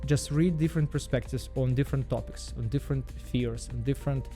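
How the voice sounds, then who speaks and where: Halting Belarusian man. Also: mid-range voice, warm tone, faint music behind. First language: Russian. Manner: halting, repeats words, frequent fillers. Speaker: vlogger. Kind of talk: casual self-help vlog monologue.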